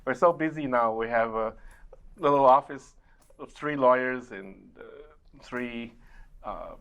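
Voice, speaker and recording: A middle-aged man whose first language is Mandarin Chinese, working as an immigration attorney and answering interview questions in a measured way, with many pauses to think. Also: medium pitch, gravelly, a bit bright. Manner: measured, explains step by step with frequent uh pauses. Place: community TV studio.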